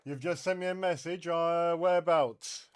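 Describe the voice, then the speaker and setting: An English man chatting casually as a CB radio operator, halting and repeating his words. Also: on the high side, steady tone, background noise. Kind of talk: casual radio chat between hobbyists.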